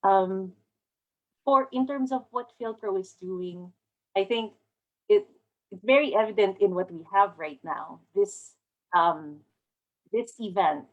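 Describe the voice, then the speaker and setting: A woman from the Philippines speaking on a community panel as an organization's president, earnest and thoughtful, pausing on fillers. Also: mid-range voice, narrow, tinny audio. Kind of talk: panel discussion talk.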